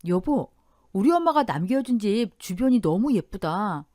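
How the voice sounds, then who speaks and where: A woman's voice: mid-range voice, big pitch swings, measured pace, warm tone, no room sound, studio quality. A woman playing a chatty, earnest young married daughter in radio story dialogue. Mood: excited, hopeful.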